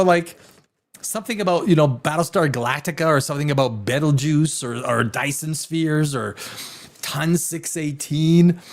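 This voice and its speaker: A middle-aged man from Canada hosts a casual talk show in a rambling, animated way, often restarting his sentences. His voice sits a little high for a man and swings widely in pitch, and he sometimes drops to a hushed near whisper. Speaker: host.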